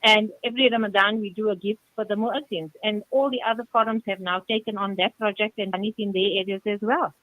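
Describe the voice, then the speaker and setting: A woman's voice: mid-range voice, fairly even intonation, quick pace, hardly any pauses, no room sound. A woman with a South African accent speaking conversationally as a radio interview guest over a telephone line. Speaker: interview guest, community charity organiser. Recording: tinny phone line on radio.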